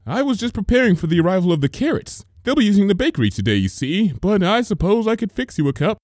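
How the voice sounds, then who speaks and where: A man's voice: high voice, big pitch swings, quick pace, a little mellow, dry recording, clean studio sound, pitched above the performer's own voice. A man voicing a fussy, kindly female pony baker with a fluttery, folksy and hesitant manner in a read-aloud story. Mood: flustered, fussing.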